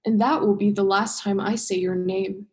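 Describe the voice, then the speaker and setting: A woman's voice: mid-range voice, fairly even intonation, moderate pace, close to an everyday voice. A young woman acting an earnest, principled diver in a student radio play. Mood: firm, resolute. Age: young adult.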